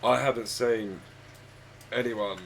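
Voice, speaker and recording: A man with a husky, croaky voice, hoarse from a chest cold, chatting casually and rambling hesitantly while streaming a game from home on a desk microphone. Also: mid-range voice, fairly even intonation, slow, fairly bright tone, slight background noise. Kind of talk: casual live-stream chat. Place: home room with his dog.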